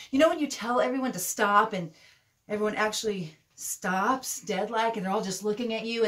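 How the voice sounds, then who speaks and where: Woman acting brash, low-voiced streetwise teenage girl. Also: lightly acted. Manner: slangy, brash, gossipy. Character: streetwise, blunt, scornful fifteen-year-old girl. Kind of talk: dramatic monologue performance.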